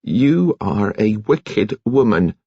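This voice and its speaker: A man's voice: mid-range voice, very animated, normal speed, breathy edge, no echo, lo-fi sound, pitched above the performer's own voice. A man voicing a prim, moralising, indignant woman nurse in a genteel scolding manner, as an audiobook character. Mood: reproving.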